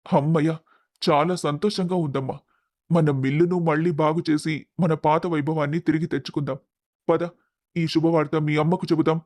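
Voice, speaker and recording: A man acts out a trusting middle-aged mill owner in a family story. His voice is high for a man and closely, dryly recorded. He speaks with earnest, open emotion. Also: fairly even intonation, brisk, darkish tone, no echo, clean studio sound, lightly acted. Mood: hopeful, relieved. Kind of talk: dramatized moral story acting.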